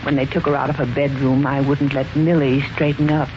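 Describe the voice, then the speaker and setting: Suspicious, insinuating servant, deferential yet pointed, old-time radio drama, dull recording with clear background noise. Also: female voice, very deep voice, level tone, a little fast. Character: insinuating housemaid, deferential on the surface, quietly suspicious. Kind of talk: 1940s radio mystery acting. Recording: muffled, noisy 1940s radio recording.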